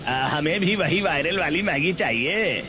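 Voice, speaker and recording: A man's voice: a little high, lively intonation, a little slow, bright, forceful tone, slight background noise. A man voicing eager, hungry passing customers at a food stall in a cartoon. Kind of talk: scripted cartoon dialogue.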